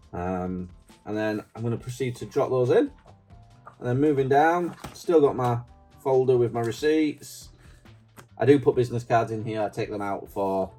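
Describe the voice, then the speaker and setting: A man's voice: fairly low pitch, mellow. A middle-aged man with a Yorkshire accent, casually showing off his stationery in a chatty, rambling, self-deprecating way. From Yorkshire, England. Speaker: stationery vlogger. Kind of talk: casual show-and-tell.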